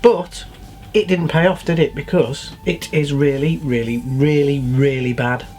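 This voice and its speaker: A man's voice: fairly high pitch, fairly even intonation, unhurried, a little mellow. A man co-hosting a show, giving casual opinions in a matter-of-fact way with frequent tag questions. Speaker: show co-host.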